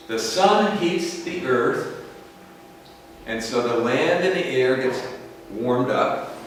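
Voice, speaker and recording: An American man giving a slow, explanatory lecture to a school class, often checking in with "okay?". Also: medium pitch, slight background noise. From United States. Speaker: English teacher. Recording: echoey classroom from far off.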